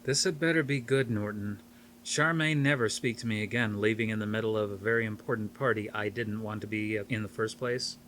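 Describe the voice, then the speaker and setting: A man's voice: on the low side, steady tone, brisk, fairly bright tone, a little hiss or hum, lightly acted. A man reading a novel aloud as a blunt, sardonic, skeptical male scientist with dry delivery. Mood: irritated.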